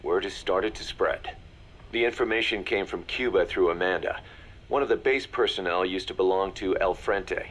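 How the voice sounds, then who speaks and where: Hard-nosed army deputy, low voice, assured, businesslike, shrewd, video game dialogue. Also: male voice, normal speed, a bit bright. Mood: cool, pragmatic. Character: shrewd, hard-nosed male second-in-command of a mercenary army.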